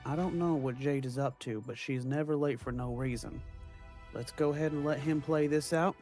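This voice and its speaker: A man's voice: fairly high pitch, fairly even intonation, moderate pace, a little mellow. A man chatting casually as a player in a tabletop game. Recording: music behind the voice.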